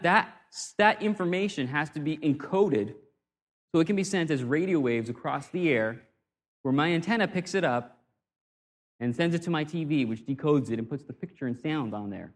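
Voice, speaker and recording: A man's voice: a little high, animated, moderate pace. A man giving an informal talk on communication, conversational and self-deprecating, often restarting his phrases. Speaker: speaker on communication. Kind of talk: informal talk or sermon-like lecture.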